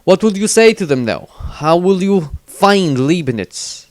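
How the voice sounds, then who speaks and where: A man's voice: sits high, sing-song, unhurried, no room sound, clean studio sound, voice pushed up. A man voicing a shaken male priest who stammers anxiously in a storybook reading. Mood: nervous.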